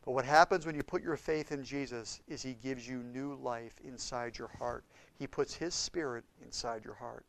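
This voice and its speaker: A man preaching a sermon with impassioned swings between loud and hushed delivery. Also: mid-range voice, moderate pace, creaky, rough recording. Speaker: pastor.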